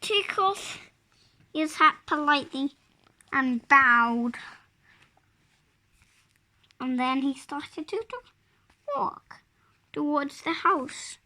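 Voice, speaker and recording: Young child reading slowly, high-pitched. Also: animated, bright, forceful tone. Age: 5-year-old child. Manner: halting, word-by-word early reader. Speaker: child reader. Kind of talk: reading a picture storybook aloud.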